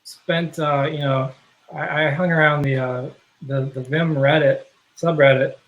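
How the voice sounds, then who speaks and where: Halting man, slightly high voice. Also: steady tone, phone-quality sound, slight background noise. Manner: halting, many fillers and restarts. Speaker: guest, software project contributor. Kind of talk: casual interview conversation. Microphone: video call.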